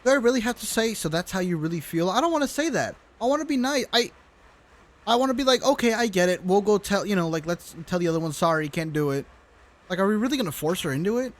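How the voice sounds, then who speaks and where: A woman's voice: mid-range voice, expressive, fast. A woman livestreamer giving casual, informal game commentary, chatting to viewers and swearing now and then.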